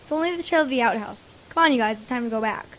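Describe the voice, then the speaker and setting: A woman's voice: on the high side, lively intonation, very fast, a bit bright, a little hiss or hum. A woman playing a fussy, rule-bound young girl camper in an audio drama, prim and scolding. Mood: insistent.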